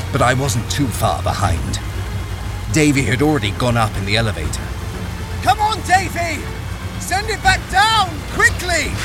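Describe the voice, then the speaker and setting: An actor playing a desperate mine worker who narrates his escape in a thriller audio drama, intense and dramatic. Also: medium pitch. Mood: desperate, urgent. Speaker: narrator and lead character. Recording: loud music and effects behind.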